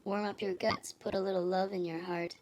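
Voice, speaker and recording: A woman's voice: mid-range voice, level tone, brisk, noisy background, lightly acted. An actress playing a sultry, teasing lounge singer and probing confidante in a noir audio drama, arch and playful in her delivery. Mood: playful, coaxing.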